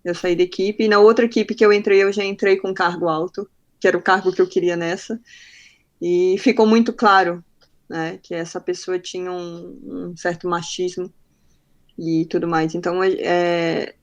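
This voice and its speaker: A woman's voice: lowish voice, lo-fi sound. A young adult woman from northern Brazil, with a faint northern Brazilian accent, speaking frankly as a podcast guest and acting out the dialogue in her stories. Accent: faint northern Brazilian Portuguese. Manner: frank, animated storyteller acting out quoted dialogue. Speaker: podcast guest, marketing professional. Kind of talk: casual interview conversation.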